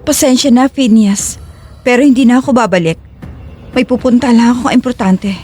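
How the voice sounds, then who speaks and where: A woman acts out a young female fugitive in a Filipino audio drama. Her voice is high and emotive, guarded as she keeps her anger hidden. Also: moderate pace, darkish tone, slightly rough, faint music behind. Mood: defiant.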